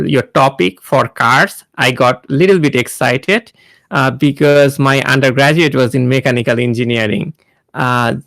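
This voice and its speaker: A man in his thirties with a Bangladeshi accent speaks haltingly, full of "uh"s and restarts. His mid-pitched voice has a gravelly edge, recorded dry and close on a video call. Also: a bit bright, dry recording. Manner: hesitant, many fillers and restarts. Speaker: guest speaker, NASA engineer. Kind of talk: informal talk to students on a video call.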